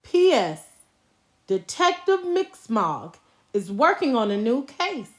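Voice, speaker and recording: Slow, high-voiced woman reading a storybook. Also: very animated. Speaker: storybook reader. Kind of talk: expressive children's story narration.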